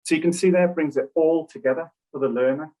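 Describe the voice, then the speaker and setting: A man's voice: on the high side, steady tone, quick pace, warm tone, poor audio. A man on a webinar panel who explains a training framework methodically, going through it step by step. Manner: methodical, lists steps one by one.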